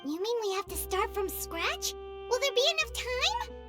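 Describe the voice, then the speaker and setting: A woman voicing a tiny, excitable fairy girl companion in an anime-style video game, squeaky and childlike. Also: normal speed. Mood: earnest. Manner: refers to self in third person, exclamatory. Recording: music playing behind the voice.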